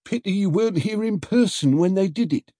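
A man voicing an eager, excitable male stallholder hatching a protest plan in an audiobook. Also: high voice, a little fast, dry recording, clean studio sound, voice pushed up, close to an everyday voice. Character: scheming enthusiastic male stallholder.

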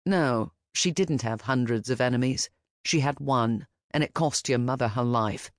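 A woman voicing a gentle, protective older man who speaks softly and calmly, with a firm edge. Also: mid-range voice, moderate pace, no room sound, clean studio sound, pitched below the performer's own voice, natural voice. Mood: gentle, fatherly. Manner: soft, calm and fatherly.

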